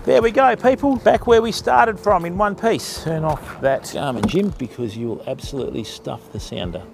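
A man's voice: sits high, moderate pace, creaky, background noise. A middle-aged Australian man giving casual live-stream fishing commentary and tips from a kayak on a river, talking to himself and his viewers. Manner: chatty, self-talking, addresses viewers as people. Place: outdoors on a river, kayak and bank. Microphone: action camera mounted on kayak.

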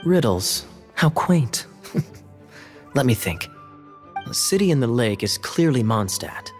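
Casting: a wry, teasing young knight in a fantasy game, lively in pitch, laid-back pace. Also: male voice, soft background music. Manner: wry, amused, unhurried. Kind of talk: video game dialogue.

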